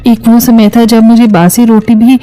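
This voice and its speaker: A high, soft-edged voice of an anxious young bride, acted by a woman. She speaks hesitantly and politely, as in a cartoon moral story, with light music behind her. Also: level tone, normal speed, lightly acted. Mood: earnest, subdued. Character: timid young new bride, anxious and dutiful.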